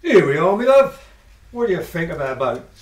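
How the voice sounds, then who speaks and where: A woman's voice: on the low side, big pitch swings, normal speed, a little mellow, echoey room. A woman voicing Harold, an affectionate bandit husband, in an amateur radio play. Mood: fond. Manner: cosy, affectionate.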